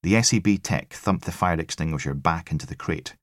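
A low-pitched man narrates a gritty crime novel. The recording is dry and close, in the manner of an audiobook. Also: brisk, no room sound.

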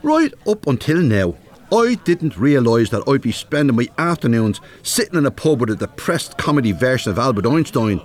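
A man's voice: mid-range voice, big pitch swings, normal speed, a little mellow. An actor playing a plain-spoken, easily irritated older man with a Dublin accent in a radio drama. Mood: earnest, grumbling. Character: rough-edged, easily irritated older widowed working man.

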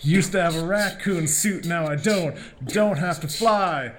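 A man's voice: high voice, measured pace, a little hiss or hum. A man playing a polite, educated, slightly prim and earnest sidekick in a comic audio play. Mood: awkward rap.

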